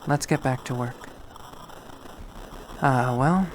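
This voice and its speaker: A man narrating a visual novel readthrough in a measured, brooding way. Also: mid-range voice, slight background noise, rough recording. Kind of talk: slow dramatic readthrough narration.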